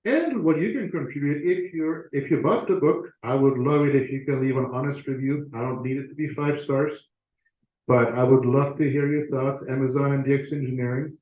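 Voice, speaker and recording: A man's voice: mid-range voice, moderate pace. A man giving an informal, conversational talk as a guest speaker to an audience, with a self-deprecating touch. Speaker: author and guest speaker presenting to a club. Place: meeting room, talk to a live audience. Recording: echoey room, slightly muffled audio.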